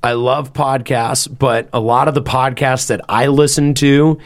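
Loud-voiced man rambling enthusiastically. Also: medium pitch, fairly even intonation, measured pace. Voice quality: loud, carrying voice. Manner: rambling, enthusiastic, with lots of "you know" and "like". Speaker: podcast host and band member. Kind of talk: casual podcast chat.

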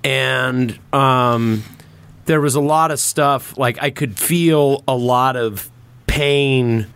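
A man's voice: mid-range voice, takes it slowly, fairly bright tone, smooth, no echo. A man hosting a casual podcast, chatting informally with swearing and banter. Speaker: podcast host.

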